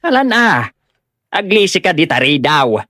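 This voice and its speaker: A woman's voice: low-pitched, big pitch swings, a little slow, fairly bright tone, dry recording, studio quality, heavily acted. A woman playing an exasperated, concerned relative who scolds in an Ilocano comedy audio drama. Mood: busy, concerned.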